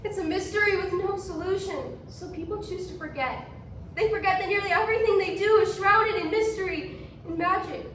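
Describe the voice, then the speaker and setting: A woman's voice: extremely high pitch, brisk, a bit bright. A woman playing a mysterious accused witch in a theatrical readers theatre performance. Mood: eerie coaxing. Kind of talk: readers theatre stage acting. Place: large echoey indoor room, distant mic. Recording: echoey hall from far off, faint noise.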